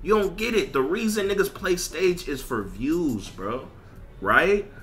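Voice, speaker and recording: A man's voice: a little high, expressive, a little slow, fairly bright tone, faint music behind. An American man streamer ranting casually in slang with heavy swearing, emphatic and repeating himself. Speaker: streamer and content creator. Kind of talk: casual livestream rant over gameplay.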